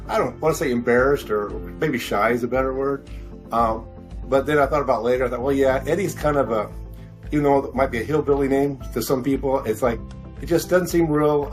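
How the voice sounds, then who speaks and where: A man in his sixties talking casually as an interview guest, halting and rambling, often repeating words as he goes. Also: mid-range voice. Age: 60s. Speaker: podcast guest, science fiction author.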